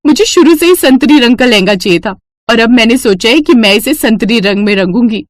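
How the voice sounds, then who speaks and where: A woman's voice: on the high side, animated, fairly quick, no room sound, studio quality, natural voice. A woman voicing a resourceful young daughter-in-law in a cartoon story, eager, respectful and reassuring. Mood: pleased.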